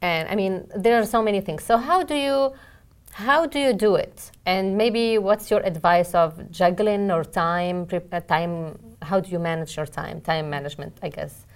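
Woman interviewing someone casually, voice a bit on the low side, appreciative, halting questions. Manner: appreciative, halting phrasing, frequent backchannels. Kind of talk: conversational interview.

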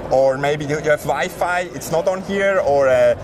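A man from Switzerland with a Swiss accent, explaining a hardware product in a casual tech interview, halting and full of fillers. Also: a little high. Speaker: hardware company representative. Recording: noisy background.

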